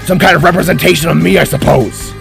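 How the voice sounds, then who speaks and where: An earnest older-brother fantasy hero, acted by a male narrator, calling out urgently. The pitch sits above the narrating voice, and music plays behind. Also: fairly even intonation, fast talker, gravelly, fairly bright tone. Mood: tense, explaining.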